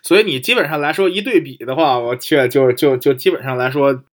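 A man's voice: medium pitch, a little fast. A man in a casual technical discussion as a podcast co-host, often repeating phrases and checking in with tag questions. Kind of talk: casual technical discussion of portable audio players.

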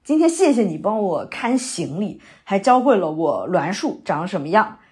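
A woman's voice: medium pitch, big pitch swings, normal speed, darkish tone, natural voice. A woman acting a young, sentimental, theatre-loving new graduate in a naturalistic audio drama, speaking in a hesitant, conversational way. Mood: warm, reflective. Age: young adult. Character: young woman fresh out of university, wandering, sentimental theatre lover.

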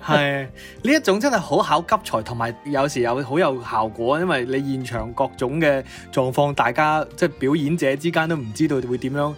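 A man's voice: medium pitch, animated, a little fast, few pauses, a bit bright, soft background music. A man with a Cantonese accent chatting casually as a podcast co-host, responsive and readily impressed. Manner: responsive, exclaiming in admiration. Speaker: radio co-host.

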